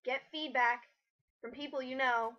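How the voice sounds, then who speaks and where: A woman's voice: sits high, fairly even intonation, a little slow, highs cut off. A woman giving casual critical commentary in a blunt, exasperated, lecturing way.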